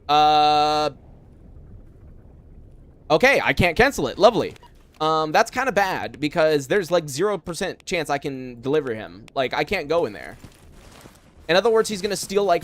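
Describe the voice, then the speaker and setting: A young man streaming a game, talking casually and rambling with lots of fillers as he plays and answers chat from a room at home. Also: a little high. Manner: rambling, filler-heavy, chatty. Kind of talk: casual live gameplay chat.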